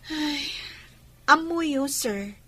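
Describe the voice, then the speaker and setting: A woman with a Filipino accent acting a reserved, dutiful young woman in a radio drama, deferential and hesitant, slightly husky. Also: sits high, expressive, extremely slow, bright, forceful tone, heavily acted. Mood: guarded.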